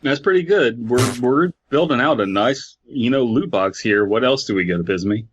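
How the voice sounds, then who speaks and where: A man's voice: mid-range voice, expressive, normal speed, slight background noise. A man co-hosting a podcast, bantering casually with crude, irreverent humor. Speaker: podcast co-host. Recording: slightly dull, muffled microphone sound.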